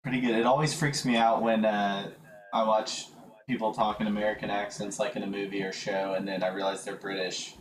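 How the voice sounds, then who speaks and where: A man hosting and commentating a game stream in a relaxed, easygoing way. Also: fairly low pitch, fairly quick, noisy background. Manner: relaxed, easygoing, laughs along.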